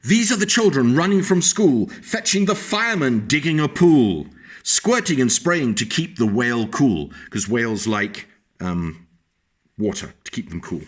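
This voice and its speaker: A man telling a story to young children, his voice lively and rising and falling over a wide range. He sits at an everyday middle pitch, pausing often to point things out and explain to small listeners. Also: slight background noise. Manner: animated, pauses to explain pictures to small listeners. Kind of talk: reading a picture book aloud to children.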